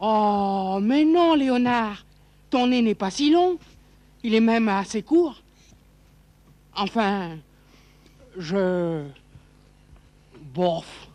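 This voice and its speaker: A man's voice: high-pitched, lively intonation, drawn-out words, pauses often, darkish tone, exaggerated voice. A man voicing a boisterous, blundering friendly monster who declaims poetically in a children's show. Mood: placating. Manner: theatrical, declaiming verse.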